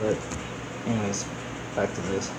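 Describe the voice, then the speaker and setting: A man's voice: on the low side, little pitch movement, moderate pace, very noisy. A man giving casual, off-the-cuff commentary as he hosts a gameplay video. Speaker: gaming video host.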